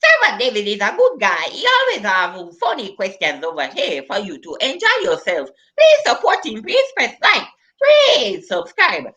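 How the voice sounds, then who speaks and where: Animated, high-pitched woman clowning on a live stream. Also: moderate pace. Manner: playful, exclamatory, with tags like "oh" and "eh". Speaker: live stream comedian co-host. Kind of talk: comic live stream banter, putting on accents.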